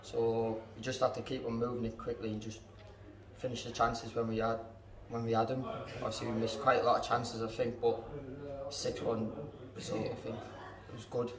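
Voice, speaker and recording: A man's voice: mid-range voice, level tone, normal speed, a crowd in the background. A young man, a football team captain, answering post-match questions in a plain, matter-of-fact way. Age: young adult. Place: indoors in front of a club sponsor backdrop. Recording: echoey room, mic at a distance.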